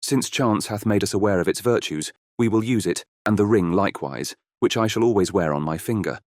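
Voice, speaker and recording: Bold young storybook hero, played by a man: determined, old-fashioned speech, low pitch, very dry recording. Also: a little fast, a little mellow, no room sound, close to an everyday voice. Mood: firm. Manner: firm, old-fashioned phrasing. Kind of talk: dramatized fairy-tale audiobook acting.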